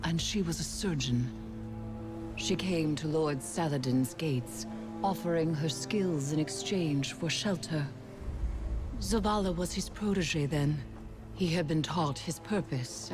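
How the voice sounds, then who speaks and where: A woman playing a calm, tender keeper of secrets, narrating a game cutscene in a hushed, poetic way. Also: deep voice. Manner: hushed, poetic, deliberate storytelling.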